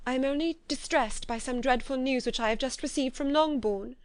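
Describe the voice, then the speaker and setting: A woman's voice: high-pitched, fairly quick, no room sound, pitched above the performer's own voice, lightly acted. A woman reading the part of a distraught young woman, her voice anguished and pleading as if on the edge of tears. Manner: anguished, pleading, breaking with emotion. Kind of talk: audiobook character voice.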